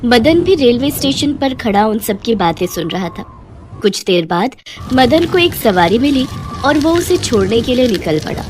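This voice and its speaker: A woman's voice: a little high, sing-song, moderate pace, background noise. A woman narrator reading a scripted children's moral story.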